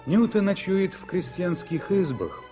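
A man narrating a 1960s radio drama as a lyrical, expressive storyteller with measured phrasing. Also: high-pitched, soft background music. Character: lyrical male storyteller of a civil war tale.

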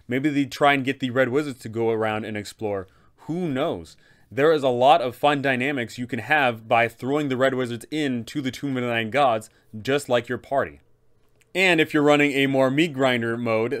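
Young adult male host, medium pitch, emphatic tabletop guide commentary, game master addressing fellow players. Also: fairly bright tone.

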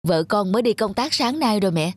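A woman's voice: medium pitch, expressive, fairly quick, darkish tone, slightly rough. A woman voicing a patient but firm young father in a children's cartoon, speaking in an earnest, explanatory manner. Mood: explaining. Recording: music behind the voice. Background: background music.

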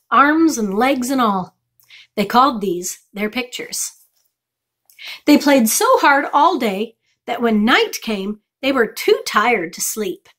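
A woman's voice: high voice, a bit bright. A middle-aged woman reading a children's chapter book aloud as a storytime reader. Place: small furnished room indoors.

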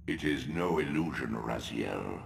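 Ancient godlike spirit, extremely deep, gravelly, commanding, portentous, video game. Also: male voice, animated, noisy background, exaggerated voice. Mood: solemn, commanding. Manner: slow, portentous. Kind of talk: solemn video game voice acting. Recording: cavernous echoing reverb, music behind.

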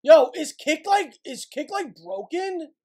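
A man's voice: extremely high pitch, very animated, takes it slowly, darkish tone. Blunt, swearing young male livestreamer. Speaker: livestream host. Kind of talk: casual livestream chat. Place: small dim gaming room at home.

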